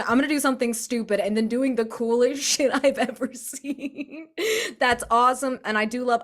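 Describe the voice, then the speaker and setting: A woman's voice: on the high side, fairly bright tone. A woman in a casual post-game chat, speaking effusively as a tabletop role-playing player. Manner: effusive, gushing praise.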